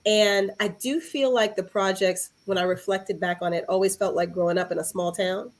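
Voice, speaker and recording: A woman's voice: mid-range voice, fairly even intonation, normal speed. Female podcast guest from New York City, mid-range voice, casual and thoughtful conversational delivery. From Harlem, New York City.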